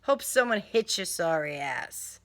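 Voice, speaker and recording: A woman reader acts out a feisty heroine from a crime audiobook. She is foul-mouthed and sarcastic, with punchy lines and a pitch that jumps around. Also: animated, a little slow, a bit bright. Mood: exasperated anger. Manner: punchy, exclamatory.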